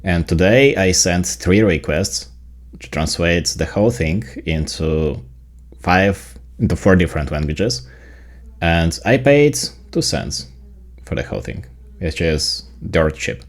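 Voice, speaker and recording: An extremely deep-voiced man with a Ukrainian accent, speaking as a native Ukrainian. He walks through software in a casual tutorial style. His delivery is explanatory, leaning on the points that matter. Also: animated, a little slow. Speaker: tech video creator.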